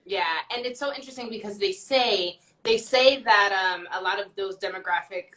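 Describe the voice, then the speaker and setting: A woman's voice: mid-range voice, normal speed, a bit bright, rough recording. A woman talking earnestly and emphatically on a phone livestream, swearing now and then. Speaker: guest on a livestream. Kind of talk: casual livestream chat.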